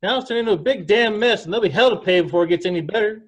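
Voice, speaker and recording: A man's voice: sits high, racing, highs cut off. A man playing a stern, hot-tempered country father in an amateur play read over a video call. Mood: angry, scolding.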